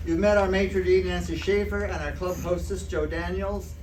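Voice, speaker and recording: An older American man speaking as master of ceremonies, reading a scripted introduction to a live show in a formal, measured way. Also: high-pitched, fairly even intonation. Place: live performance room at a club. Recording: distant mic, roomy echo, loud noise.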